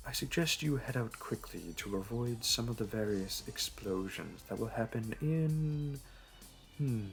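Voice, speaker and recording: A man's voice: fairly low pitch, vocal fry, heavily acted. A man playing a suave, flirtatious supervillain in a roleplay audio drama, smug, teasing and theatrical. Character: smug, flirtatious male supervillain courting a hero.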